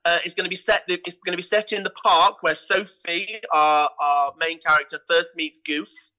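A man with a very bright, forward voice pitched on the high side. He chats casually as an interview guest, with an enthusiastic and effusive manner, like a theatre director keen on his work. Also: steady tone, moderate pace, rough recording.

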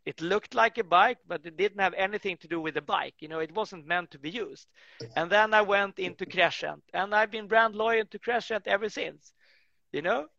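A man's voice: high voice, normal speed, creaky, pushed and bright, dry recording, highs cut off. A man speaking English as an in a casual interview, rambling with many restarts and fillers. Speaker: guest, tree work business owner.

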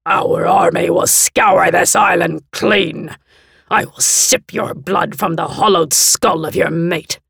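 A woman voicing a diseased, arrogant male envoy of a horde lord, with a raspy, ruined-sounding throat and slow, haughty threats. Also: lowish voice, no room sound, studio quality, pitched above the performer's own voice, full character voice. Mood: menacing. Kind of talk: audiobook character voice.

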